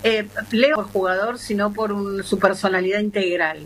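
A woman's voice: mid-range voice, steady tone, moderate pace, breathy edge, low-quality recording. A woman with an Argentine accent giving a travel column on a radio show, talking in an upbeat, promotional way. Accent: Argentine Spanish. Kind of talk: casual radio chat.

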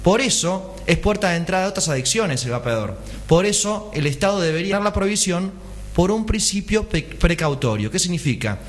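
A man's voice: sits high, big pitch swings, fairly quick. A man, a pharmacist giving a radio health column, explaining step by step and asking rhetorical questions.

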